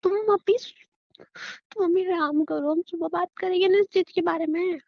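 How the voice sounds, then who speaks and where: A woman acts out a frightened young wife in an emotional audio drama, with a high voice. She stammers nervously and repeats herself, trying to placate her husband. The sound is slightly dull and lo-fi. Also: steady tone, normal speed, vocal fry, dry recording. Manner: nervous, stammering, repetitive, soothing.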